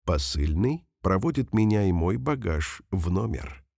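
A man reading example sentences slowly and carefully for language learners, saying each one twice. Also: low-pitched, animated, gravelly, darkish tone, dry recording, studio quality. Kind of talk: slow repeated phrase reading for learners.

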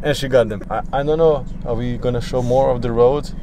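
A man in his thirties chatting casually in a travel vlog, relaxed with dry humor, filmed on a camera held at arm's length. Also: mid-range voice, brisk, over a noisy crowd. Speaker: vlogger. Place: in a car and outdoors at an outlet mall.

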